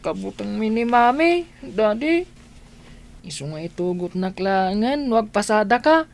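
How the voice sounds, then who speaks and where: Plaintive young child. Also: female voice, mid-range voice, lively intonation, unhurried, creaky, darkish tone. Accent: Ilocano. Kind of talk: Filipino radio drama acting.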